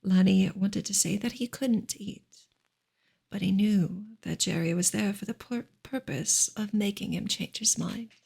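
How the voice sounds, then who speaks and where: A woman reading a novel aloud as ASMR in a soft, breathy whisper, with slow, gentle, measured delivery. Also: medium pitch. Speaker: ASMR reader of a classic novel.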